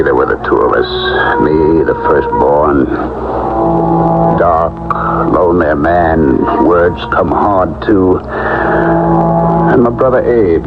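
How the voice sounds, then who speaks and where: Brooding outcast cowboy, low rustic drawl, halting and dramatic, widely swinging pitch, radio drama. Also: male voice. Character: brooding guilt-ridden outcast cowboy from a farm. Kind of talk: 1970s radio drama acting. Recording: muffled old radio broadcast with loud music.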